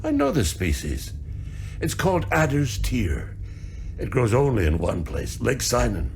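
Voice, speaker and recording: An actor playing a weary, regretful older father in a game cutscene, gravelly and wistful. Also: medium pitch, wildly swooping pitch, measured pace, darkish tone, a little hiss or hum. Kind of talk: video game cutscene acting.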